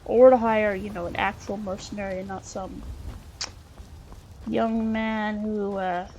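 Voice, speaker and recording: A woman's voice: mid-range voice, steady tone, unhurried, a little mellow, slight background noise, lightly acted. A woman playing a polite, wry scholar adventurer, musing aloud in character through a video game. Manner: musing, chatty, dryly polite.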